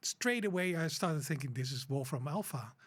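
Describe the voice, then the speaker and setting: A man's voice: on the high side, lively intonation, moderate pace. A man, co-hosting an informal podcast and speaking hesitantly with many fillers, laughing easily. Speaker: podcast co-host. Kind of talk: informal unscripted conversation.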